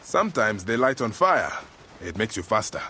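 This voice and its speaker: A man's voice: on the low side, very animated, normal speed, sharp tone, slight background noise. An actor playing a protective, intense warrior father in a video game. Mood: fatherly, teasing. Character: warrior father, protective, playful with child, fierce toward foes. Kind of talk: dramatic video game acting.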